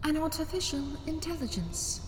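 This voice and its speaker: A woman playing a calm alien sentient in an audio drama, speaking in a formal, measured, solemn way. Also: sits high, heavy background noise. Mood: solemn, explanatory. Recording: long reverb, distant, music behind.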